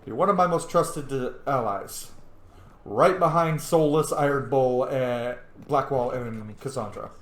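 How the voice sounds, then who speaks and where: A man streams a game with casual, chatty commentary. His voice has a middling pitch and he talks in a slangy, joking way, with noticeable room echo. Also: unhurried. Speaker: video game streamer and role-player. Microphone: microphone. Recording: echoey room.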